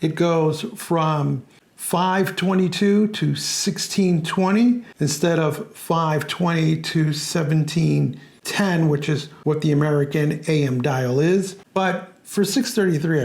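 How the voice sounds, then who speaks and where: A man narrating a scripted product review in a plain, measured way. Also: fairly high pitch, vocal fry, darkish tone.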